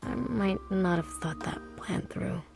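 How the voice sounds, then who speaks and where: A woman's voice: mid-range voice, measured pace, creaky, over music. A woman voicing a tough, guarded but kind teenage girl in a visual novel, with a blunt, sardonic delivery. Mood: hesitant, guarded.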